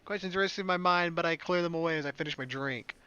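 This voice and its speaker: A man's voice: fairly high pitch, quick pace, clear, resonant tone, lo-fi sound. A man hosting a game playthrough, reading a visual novel aloud and dropping in casual, offhand commentary. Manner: switches between read dialogue and offhand asides. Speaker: gaming video host. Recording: loud game music behind the voice. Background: game music.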